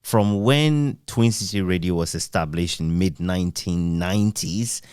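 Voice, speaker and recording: Guy doing a thoughtful interview, deepish voice, very dry close sound, takes his time wording questions. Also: unhurried, darkish tone, clear, resonant tone, dry recording. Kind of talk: reflective radio-history interview.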